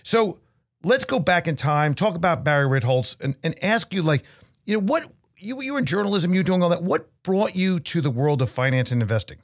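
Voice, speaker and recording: A man's voice: on the high side, lively intonation, fairly quick. Rambling friendly male podcast host. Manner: rambling, friendly, restarts often. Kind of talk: interview podcast with read ad.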